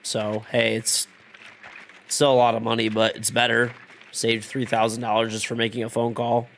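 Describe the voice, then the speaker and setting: A man's voice: mid-range voice, completely flat delivery, moderate pace, gravelly, a bit bright, a little hiss or hum. A man in his thirties streaming from home, chatting loosely with his viewers while congested and losing his voice, swearing often and rambling between topics. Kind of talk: casual chat with stream chat.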